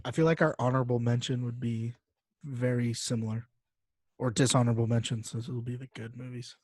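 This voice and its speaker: A man's voice: mid-range voice, flat delivery, fairly quick, darkish tone, no echo. A man co-hosting a podcast in casual, slangy chat, with frequent fillers as he talks through lists and figures. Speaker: podcast co-host.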